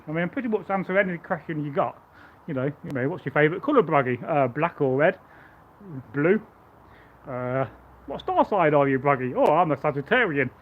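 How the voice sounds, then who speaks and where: Loud chatty man on tinny live stream. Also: sits high. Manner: rambling, chatty, stammering restarts. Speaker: live-streaming Viking reenactor. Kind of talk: casual live stream chat and demonstration.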